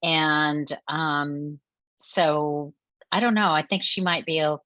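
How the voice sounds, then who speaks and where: A woman's voice: low-pitched, slow, fairly bright tone, dry recording. A middle-aged woman chatting casually as a guest. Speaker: guest author. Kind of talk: casual chat. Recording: dry, slightly dull lo-fi audio.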